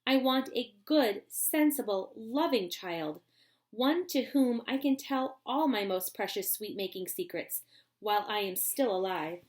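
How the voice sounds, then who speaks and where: A woman voicing an eccentric, excitable old man who makes candy, in a storybook reading. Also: on the high side. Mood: eager. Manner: brisk, eager and bossy.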